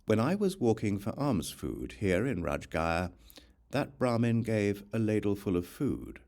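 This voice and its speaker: A male narrator voices a respectful senior monk answering his teacher in a scripture audiobook. The voice is low and earnest, deferential, with lively intonation. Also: measured pace.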